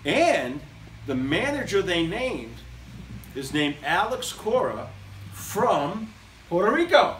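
A man's voice: high-pitched, very animated, slow. A middle-aged man hosting a casual show with a handheld mic, upbeat and joking, like an announcer. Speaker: host. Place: small room with brick walls and blinds, indoors. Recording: echoey room, noisy, from a far camera.

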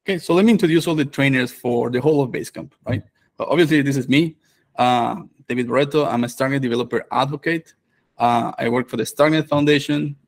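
A man's voice: mid-range voice, fast. Male host, 30s, video-call mic, casual and chatty. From Venezuela. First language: Spanish. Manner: relaxed, many fillers and restarts. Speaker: developer advocate and course host. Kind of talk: live online course introduction.

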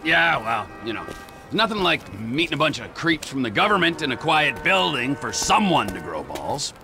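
A man's voice: a little high, very animated, normal speed. A man playing an unhinged, sarcastic middle-aged criminal with an erratic, foul-mouthed delivery in a video game. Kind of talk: video game cutscene acting. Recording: background music and game ambience.

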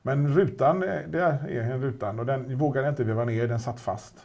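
A man's voice: medium pitch, darkish tone, slight background noise. A man casually chatting as an auction presenter, rambling with many restarts and fillers. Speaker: auction specialist presenting a car. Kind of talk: casual chat.

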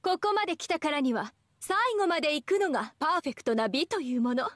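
A woman's voice: sits high, expressive, quick pace, dry recording, studio quality. An actress voicing a proud, elegant young goddess in an anime game, with pompous, ornate ladylike speech. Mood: confident, gracious.

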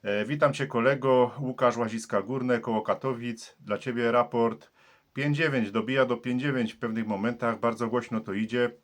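A Polish CB operator who speaks in a steady, friendly tone. He reads out call signs and reports with practiced radio routine. His voice sits at an ordinary male pitch. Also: moderate pace. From Poland. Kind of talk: CB radio contact.

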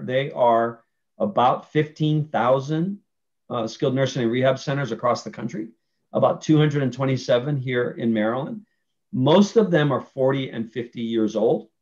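A man in his forties talks over a webcam during a virtual town hall, laying out his points with earnest care. He pauses on fillers and restarts his phrases often. His voice sits at an ordinary middle pitch, and the audio comes through a little muffled. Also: darkish tone. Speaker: panelist speaking for nursing homes. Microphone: video call webcam. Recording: muffled video call audio.

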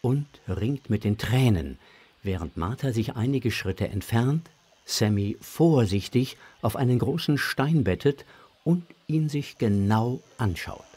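Children's story narrator, male, husky tone, lively and varied intonation, expressive scripted read. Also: mid-range voice.

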